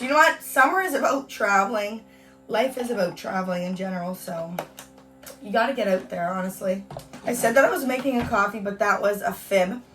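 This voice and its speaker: A young woman from Canada chatting in a casual home vlog, bubbly and full of "like" and "you guys", her voice hoarse from a cold. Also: mid-range voice, a bit bright, distant mic. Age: young adult. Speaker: beauty vlogger. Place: at home on a hot day.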